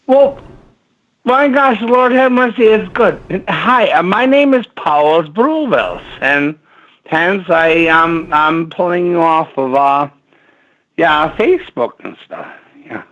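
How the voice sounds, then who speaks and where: A man's voice: fairly high pitch, expressive, a bit bright. A man from Minneapolis whose first language is Latvian, calling a radio show from his front porch and rambling hesitantly with many fillers. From Minneapolis, United States. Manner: hesitant, halting, full of fillers. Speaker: caller. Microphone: phone. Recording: dull phone line.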